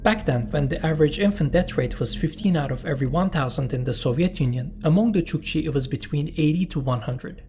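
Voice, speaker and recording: Man narrating, mellow voice. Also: fairly high pitch, slight background noise. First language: Turkish. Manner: measured, explanatory, with personal asides. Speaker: channel host and narrator. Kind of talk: scripted documentary narration.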